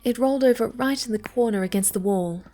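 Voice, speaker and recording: A woman playing a snobby, pouty young cat girl with a soft side in an ASMR roleplay. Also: on the high side, fast, natural voice. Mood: grudging, snooty. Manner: haughty, pouty, dismissive.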